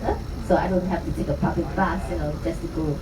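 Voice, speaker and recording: A woman's voice: deep voice, steady tone, fast. A woman with a Singaporean English accent giving tour commentary in a chatty way, with many "uh" fillers. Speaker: tour guide. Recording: echoey, distant, noisy.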